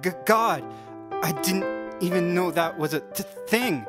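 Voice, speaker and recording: A woman's voice: on the low side, expressive, unhurried. A woman playing a meek, devoted cult member with a stutter in a scripted comedy. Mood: shaken, hesitant. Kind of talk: scripted audio comedy acting. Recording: loud music behind the voice.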